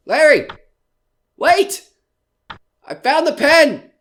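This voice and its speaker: A man's voice: very high-pitched, sing-song, drawn-out words, fairly bright tone, full character voice. A man playing a desperate, nervous small-time comedian in an audio horror drama, stammering and pleading. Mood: frantic.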